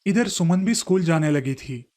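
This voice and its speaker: A man's voice: on the high side, lively intonation, normal speed. A man narrating a scripted children's story as a storyteller. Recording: faint music under the voice. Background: soft background music.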